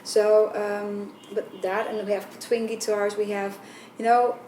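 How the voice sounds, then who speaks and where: A woman's voice: mid-range voice, flat delivery, measured pace, mellow. A woman from the Netherlands with a Dutch accent and a light voice, chatting loosely in an interview with lots of fillers and restarts. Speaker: band vocalist. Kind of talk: casual interview answers. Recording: roomy, far from mic, faint noise.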